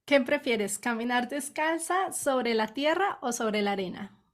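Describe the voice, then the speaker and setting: A woman's voice: fairly high pitch, animated, unhurried. Woman asking brief interview questions. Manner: brief, friendly prompts.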